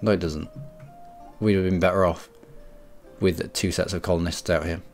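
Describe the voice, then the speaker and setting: A man with a head cold streaming a strategy game, rambling and correcting himself, often clearing his throat. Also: sits low, steady tone, moderate pace, soft background music. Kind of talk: casual game commentary.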